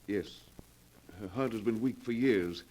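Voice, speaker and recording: An actor playing a genteel, unstable recluse in a 1940s radio drama, smooth-spoken and persuasive. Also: male voice, lowish voice, unhurried, a little mellow. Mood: insistent.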